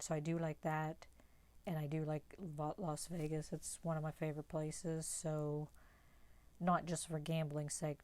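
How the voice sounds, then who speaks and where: A woman's voice: low-pitched, darkish tone. A young woman from West Virginia with a Pittsburgh accent, candidly chatting through numbered questions in a casual tag video. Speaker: vlogger.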